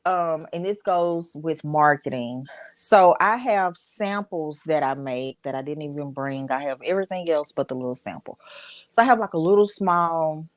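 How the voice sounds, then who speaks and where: A woman's voice: low voice, expressive, normal speed, no room sound. A woman from Dallas with a Texas accent, a business owner chatting candidly as a podcast guest. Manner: candid, chatty, storytelling with fillers. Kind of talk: casual podcast interview.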